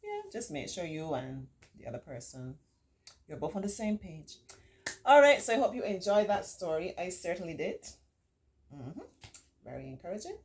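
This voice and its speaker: A middle-aged woman hosting a children's storytime, reading aloud and adding reassuring, encouraging teaching asides with drawn-out emphasis. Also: on the low side, big pitch swings, a little mellow, a little hiss or hum. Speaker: children's storytime host and remedial tutor.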